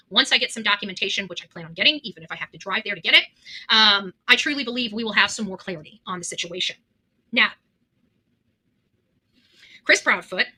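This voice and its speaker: Female commentary host, blunt and accusing, very forceful bright tone, slightly high voice. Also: low-quality recording. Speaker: host of a true-crime commentary vlog. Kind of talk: unscripted opinion commentary.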